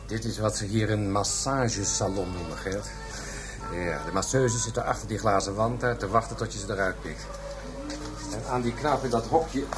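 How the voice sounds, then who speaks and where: A male actor plays a world-weary police detective in a radio play. His voice is low, his manner knowing, matter-of-fact and grim, and clear music plays behind him. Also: moderate pace, close to an everyday voice. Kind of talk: radio drama acting.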